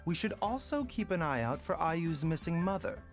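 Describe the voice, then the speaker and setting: Soft-spoken, polite male physician for a game: refined and composed, lively inflection, music behind. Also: a little high, moderate pace. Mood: thoughtful. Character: gentle, courteous young male doctor, composed and refined. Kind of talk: video game voice acting.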